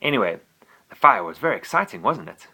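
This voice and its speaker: A man's voice: lowish voice, big pitch swings, fast talker, sharp tone, breathy edge, heavily acted, rough recording. A man reading a bedtime story, voicing a young boastful male pet dragon that is eager and sulky by turns. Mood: childlike boasting.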